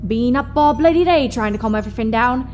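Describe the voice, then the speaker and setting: Woman as a high-pitched, weary pony timekeeper. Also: quick pace, a little mellow, close to an everyday voice. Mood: weary surprise. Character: weary, overworked female unicorn pony timekeeper. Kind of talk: fan audio drama acting. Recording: music playing behind the voice.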